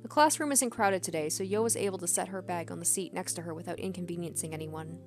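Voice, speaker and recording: A woman narrating a visual novel aloud as a let's player, expressive, with casual commentary. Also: lowish voice, quick pace. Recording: background music under the voice. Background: game music.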